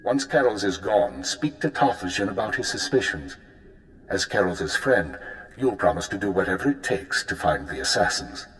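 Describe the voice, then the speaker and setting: An actor playing a calm spymaster handler briefing an agent in a video game, with a measured, controlled delivery. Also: male voice, fairly low pitch. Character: calm male spymaster handler giving orders. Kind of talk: video game cut-scene acting. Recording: roomy echo.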